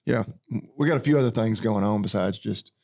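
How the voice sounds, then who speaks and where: A man's voice: lowish voice, a little fast, vocal fry, darkish tone. A man and farmer co-hosting a casual podcast, easygoing and rambling as he tells stories. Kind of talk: casual chat.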